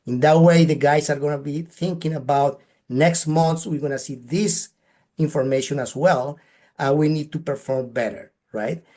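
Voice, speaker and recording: Man, Colombian Spanish accent, somewhat high pitch, earnest, instructive, webinar talk. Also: moderate pace, darkish tone. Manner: earnest, advice-giving, frequent "right?" tags. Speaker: guest speaker. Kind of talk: webinar presentation.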